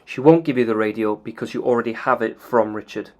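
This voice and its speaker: A man calmly explaining video game story triggers in a methodical, plain way. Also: medium pitch, fast, dull audio.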